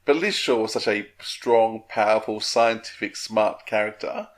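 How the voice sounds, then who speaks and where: A man's voice: on the low side, normal speed. A man co-hosting a casual interview discussion, talking with earnest enthusiasm. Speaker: interview podcast co-host.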